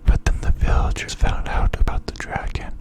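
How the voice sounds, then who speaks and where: A man reading a children's bedtime story in ASMR style, in a soft, breathy, unvoiced whisper with a hushed delivery. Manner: slow, hushed, phrase-by-phrase delivery.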